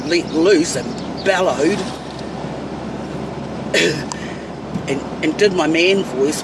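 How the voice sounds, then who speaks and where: A middle-aged woman with a New Zealand accent, bluntly ranting and swearing to her phone camera while driving. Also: low voice. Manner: blunt, fiery, swears freely. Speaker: vlogger. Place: inside a van in traffic. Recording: distant phone mic with loud road noise.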